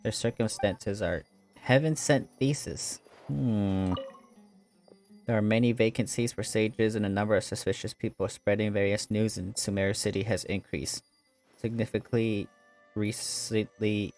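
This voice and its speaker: A man hosting a gaming video, casually commenting and reading dialogue aloud in a halting way. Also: lowish voice, a little mellow. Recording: game music playing underneath.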